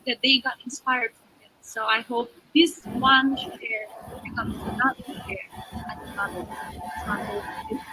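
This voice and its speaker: A young woman with an Indonesian accent, a pageant contestant giving earnest, halting answers in an interview. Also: fairly high pitch, fairly even intonation, noisy background. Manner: earnest, halting non-native English.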